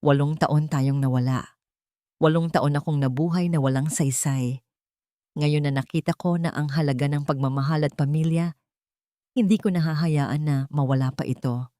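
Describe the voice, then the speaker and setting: A woman's voice: deep voice, fairly even intonation, normal speed, mellow, dry recording, clean studio sound, lightly acted. A woman with a Filipino accent voicing a repentant male billionaire, earnest and devoted, in a romance story. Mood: earnest pleading. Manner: earnest, solemn vowing.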